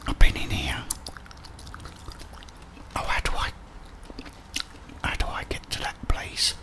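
A young man giving whispered ASMR game commentary in a breathy voice, murmuring short remarks to himself and his viewers. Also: takes it slowly. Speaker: gaming ASMR host. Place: small indoor room with plain wall.

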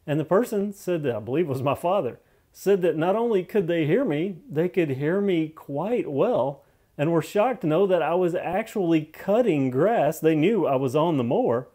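A man's voice: on the high side, expressive, moderate pace, warm tone. A man giving a casual, unscripted product review, thinking aloud and repeating phrases for emphasis in a conversational way.

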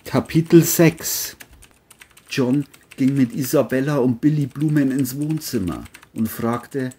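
A narrator reading a scripted crime audio drama in a mellow, husky voice. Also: medium pitch, slow.

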